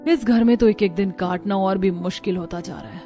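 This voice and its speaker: Woman voicing worried young bahu in a cartoon, complainy, mellow tone, music behind. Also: mid-range voice, fairly quick, natural voice. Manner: complaining, earnest. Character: fretful, put-upon young Indian daughter-in-law. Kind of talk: cartoon story dialogue.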